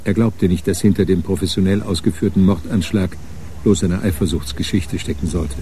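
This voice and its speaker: A man's voice: low voice, steady tone, normal speed, dark tone, slight background noise, natural voice. A man narrating a radio crime drama from a script, as a sober storyteller.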